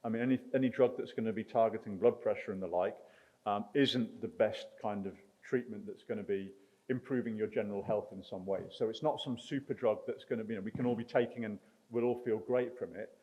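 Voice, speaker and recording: A man's voice: lowish voice, fairly even intonation, a little fast, highs cut off. A man answering audience questions after a research talk, careful and hedging with many ums. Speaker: research speaker. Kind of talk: conference Q&A answer. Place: lecture hall.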